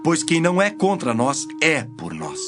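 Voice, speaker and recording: Solemn authoritative teacher in a bible audio drama, brazilian accent, measured, music behind. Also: male voice, a little high, big pitch swings. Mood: earnest warning. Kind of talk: dramatized scripture audio acting.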